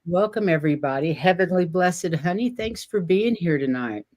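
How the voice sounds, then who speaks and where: A woman hosting a casual livestream chat, talking at an unhurried pace with frequent fillers and soft laughs. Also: on the low side, lively intonation. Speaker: host.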